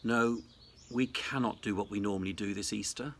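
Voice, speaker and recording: A man, a senior Anglican clergyman, delivering a reflective Easter sermon in a measured, deliberate, formal way. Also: fairly low pitch, steady tone, a bit bright, a little hiss or hum. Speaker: Anglican cathedral dean giving Easter message. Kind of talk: reflective sermon, prepared address.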